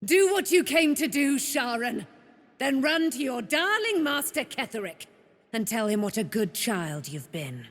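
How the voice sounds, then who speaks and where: A woman's voice: on the high side, sing-song, a little slow, no echo, clean studio sound. An actress voicing a proud, righteous immortal warrior woman in a fantasy game, speaking in a grand, declamatory manner with archaic, formal diction. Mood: scornful. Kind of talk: fantasy video game character acting.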